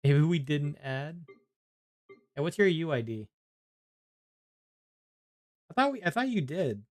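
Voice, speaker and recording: Higher-voiced man chatting while gaming. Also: very animated, takes it slowly. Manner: rambling, talking half to himself and to viewers. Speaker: game streamer. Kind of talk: casual live gameplay chat.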